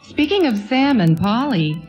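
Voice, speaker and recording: A woman's voice: fairly high pitch, big pitch swings, measured pace, a little mellow, faint music behind. An actress playing a devoted, shy, efficient secretary in her thirties in a radio play set in the 1940s, polite and fluttery. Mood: helpful. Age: 30s.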